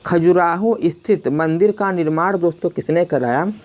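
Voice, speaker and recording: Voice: mid-range voice, moderate pace, muffled recording. A teacher narrating an exam lesson in question-and-answer form, repeating questions and addressing listeners as friends. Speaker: quiz teacher.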